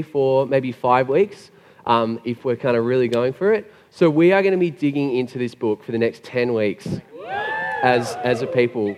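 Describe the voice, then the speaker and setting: A man preaching a sermon with a gravelly, hoarse voice after being sick, chatty and joking. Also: medium pitch, a little mellow. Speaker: pastor. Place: church hall. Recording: long hall reverb.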